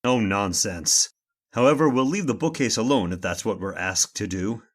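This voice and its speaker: An actor playing a stern, stubborn master leading a search, speaking in commanding, impatient, formal old-fashioned phrases in the style of radio horror. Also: male voice, lowish voice, lively intonation, normal speed, close to an everyday voice. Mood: hushed, curious.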